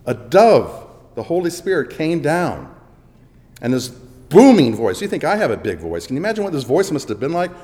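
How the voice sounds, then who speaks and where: A man preaching a sermon with dramatic swings between booming emphasis and hushed asides, in a church. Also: sing-song, moderate pace. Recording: long echo of a large hall.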